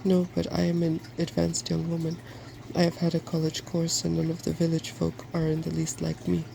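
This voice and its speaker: A man voicing a fearless, bookish, self-assured young woman in a soft-spoken storybook reading. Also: a little high, completely flat delivery, moderate pace, gravelly, slight background noise, low-quality recording. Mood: lofty.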